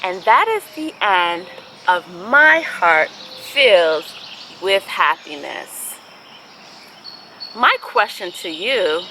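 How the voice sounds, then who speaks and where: A woman hosting a children's storybook read-aloud, speaking in an expressive, deliberate way with drawn-out phrasing. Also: a little high, a little hiss or hum. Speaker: host reading picture books aloud for children.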